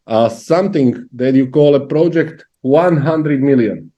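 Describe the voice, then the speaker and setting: A man from Slovakia with a Slovak accent, interviewing a guest, halting with many uhs. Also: medium pitch, dark tone, muffled recording. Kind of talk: interview questions.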